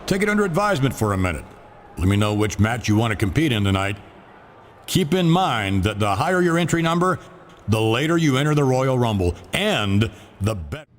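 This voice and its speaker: Man acting a commanding, animated company boss. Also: medium pitch, brisk, slight background noise, natural voice. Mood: businesslike. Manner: formal, authoritative. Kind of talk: scripted game dialogue.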